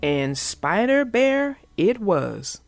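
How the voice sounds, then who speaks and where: A woman's voice: medium pitch, big pitch swings, very slow, no echo, soft background music. A woman narrating a children's storybook and talking to young listeners in an emphatic, instructive way. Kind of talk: read-aloud storytelling and explaining to children.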